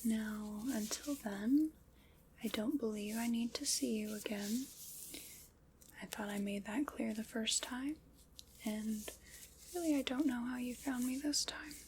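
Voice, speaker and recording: A woman whispering breathily in an ASMR roleplay, soft, unhurried, formal and reassuring. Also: fairly high pitch, a little hiss or hum, rough recording.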